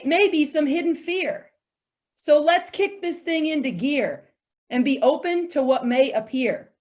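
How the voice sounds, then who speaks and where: A woman on a video call who chats cheerfully, laughs often and recites a short rhymed spoken-word piece. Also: high voice. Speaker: panelist and spoken-word performer. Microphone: home computer mic on a video call.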